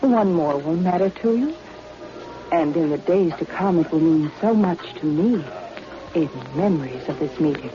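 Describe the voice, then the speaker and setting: A woman's voice: sits low, lively intonation, normal speed, highs cut off, soft background music. A woman playing a seductive, scheming spy, coy and gushing, in a 1940s radio adventure. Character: seductive, scheming female spy, flattering and falsely sweet. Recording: band-limited old radio recording.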